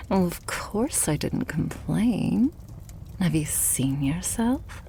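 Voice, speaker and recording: A woman playing a loving, possessive vampire girlfriend in an intimate ASMR roleplay, murmuring softly and breathily. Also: fairly low pitch, slight background noise, full character voice. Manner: soft, breathy, slow murmuring that often drops to whispers.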